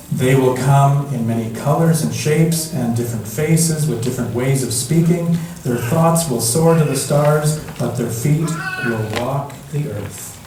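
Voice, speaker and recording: Male storyteller, unhurried and gentle read-aloud with long pauses; very reverberant room, distant mic. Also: mid-range voice. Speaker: storyteller reading at a church. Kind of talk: slow picture-book read-aloud. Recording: echoey room from far off, background noise.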